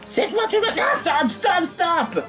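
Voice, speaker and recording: A performer voicing an excitable, cheeky cartoon sidekick who talks in a shrill, scolding, insistent way. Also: takes it slowly, soft background music, full character voice.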